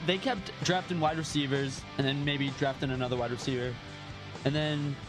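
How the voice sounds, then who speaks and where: A man's voice: fairly high pitch, steady tone, normal speed, over loud music. A man presenting radio news, sports updates and sports talk as an anchor and host. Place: radio studio.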